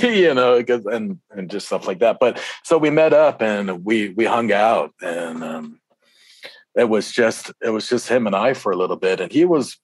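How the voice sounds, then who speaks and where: Very deep-voiced man in casual interview. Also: husky, no echo. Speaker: interview guest, rapper. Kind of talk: casual interview chat, reminiscing.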